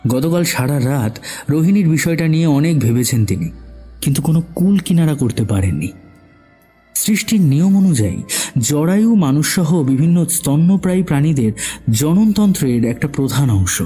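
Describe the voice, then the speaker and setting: A man's voice: on the high side, animated, moderate pace, warm tone, slightly rough, faint music behind. A man narrating a scripted suspense story as the narrator of an audio drama.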